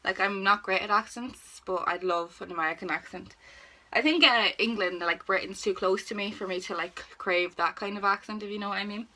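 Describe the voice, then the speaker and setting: A woman's voice: medium pitch, a little fast, bright, forceful tone, rough recording. Chatty young Irish woman vlogging. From Ireland. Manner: chatty, informal, self-deprecating, exclamatory. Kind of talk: casual chatty question-and-answer vlog. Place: indoors at home, sitting on the floor.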